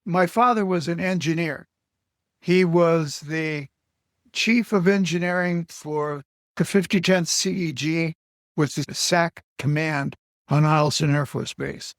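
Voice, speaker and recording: An older man from Alaska answering interview questions slowly, pausing often with fillers and short of breath. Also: fairly high pitch, steady tone, no room sound, studio quality. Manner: halting, with many pauses and fillers. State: short of breath, on supplemental oxygen. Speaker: guest. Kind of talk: slow reflective interview answers.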